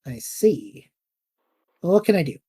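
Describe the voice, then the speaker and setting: A man plays a time traveler in an audio drama. His voice is soft-edged and husky, with a dark, relaxed tone. He talks warily to his partner. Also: fairly high pitch, measured pace, heavily acted. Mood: wary, resolved. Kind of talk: scripted audio drama dialogue.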